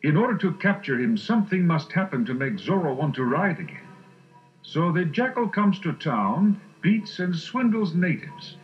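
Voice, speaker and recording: A male narrator puts on a suave, aristocratic don for a storybook adventure. He is polite and coolly inquisitive, with very lively intonation. The sound is a bit dull, with music underneath. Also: on the high side, normal speed, pitched above the performer's own voice. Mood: coolly musing. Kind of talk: dramatised storybook reading. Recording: muffled storybook recording.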